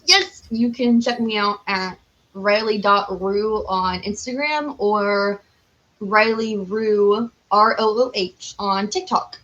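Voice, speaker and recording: A woman's voice: mid-range voice, slow, a bit bright, muffled recording. A young adult American woman chatting casually as a podcast guest, telling stories in a giggly way. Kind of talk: casual chat.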